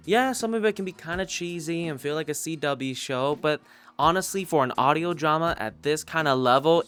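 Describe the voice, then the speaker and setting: A man's voice: on the high side, a bit bright. A man giving a casual, enthusiastic review as a YouTube host, gushing, emphatic and swearing freely. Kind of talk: casual enthusiastic review, recommending audio dramas. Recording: music playing under the voice. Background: background music.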